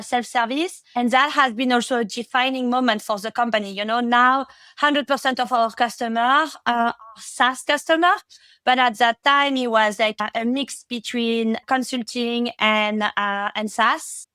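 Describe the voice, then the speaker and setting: Guest voice: French-accented woman, thirties, higher pitch, dry close sound, chatty with lots of fillers. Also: fairly bright tone, smooth, no room sound. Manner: chatty, many fillers and restarts. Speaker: podcast guest, product executive. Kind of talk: casual interview talk.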